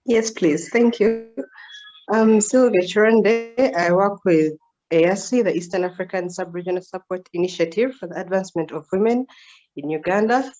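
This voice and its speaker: A woman's voice: mid-range voice, moderate pace, a little mellow, faint music behind. A woman in her twenties, a communications officer, politely introducing herself on a video call. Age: 20s. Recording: dull lo-fi call audio.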